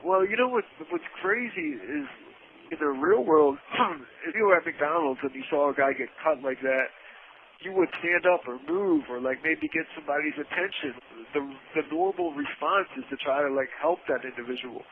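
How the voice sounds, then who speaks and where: A man's voice: a little high, moderate pace, slight background noise. A man telling stories casually and matter-of-factly over a phone line from prison, informal and prone to swearing. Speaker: podcast co-host calling from prison. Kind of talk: casual first-person storytelling. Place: inside a prison, on a phone call. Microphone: telephone handset.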